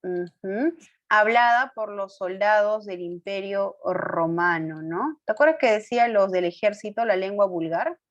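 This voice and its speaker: A woman's voice: medium pitch, a little slow, lo-fi sound. A woman teacher going over quiz answers with her students in an online class, coaxing them with questions, affirming with short fillers and correcting her own slips. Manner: corrects herself aloud, apologetic.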